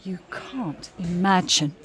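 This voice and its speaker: A woman's voice: lowish voice, lively intonation, slow pace, fairly bright tone. An actress playing a proud, self-justifying famous woman singer in an audio drama, with a commanding, theatrical manner. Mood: self-justifying, dramatic.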